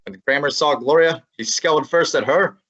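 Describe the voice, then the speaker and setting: A young man voices a wisecracking detective's assistant who talks in a breezy, wry, matter-of-fact way. His voice sits slightly high. The recording sounds a little dull and lo-fi. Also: normal speed, a bit bright. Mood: narrating. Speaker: student actor. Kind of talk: student radio drama acting.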